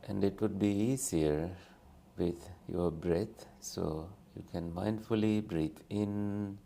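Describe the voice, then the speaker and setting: A man with a very low, gravelly voice guides a meditation. He speaks gently and soothingly, leaving long pauses between short phrases. His tone is dark and mellow. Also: a little hiss or hum. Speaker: meditation teacher.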